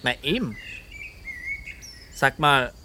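An actor playing a cheeky, laconic teenage son in a radio drama, speaking in an offhand, flippant way. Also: male voice, medium pitch, sing-song, takes it slowly, pushed and bright, exaggerated voice. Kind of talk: naturalistic radio drama dialogue. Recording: faint outdoor noise, birds and insects.

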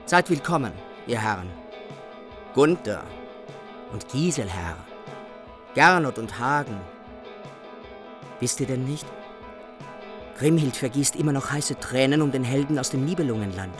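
A man's voice: medium pitch, lively intonation, measured pace, pauses often, natural voice. A man playing a grave hero giving a warning. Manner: earnest, warning. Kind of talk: German radio play acting. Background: music.

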